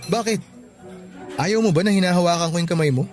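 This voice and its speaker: A man plays a devoted young boyfriend in a radio-style drama. His voice sits a little high, earnest and emotive, with frustration under the affection. Faint music runs behind him. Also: fast talker, natural voice. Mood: hurt, pleading.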